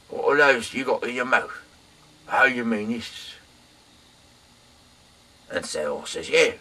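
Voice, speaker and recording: A man reading scripture aloud informally as a host, halting and rambling, stumbling over words and trailing off, with some noise in the recording. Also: low-pitched, pushed and bright, breathy edge, rough recording.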